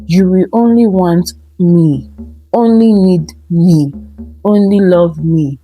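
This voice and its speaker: A woman's voice: on the low side, slow pace, warm tone, soft background music. A woman storyteller voicing an outwardly sweet, possessive, secretly sinister aunt in a soothing manner. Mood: possessive crooning.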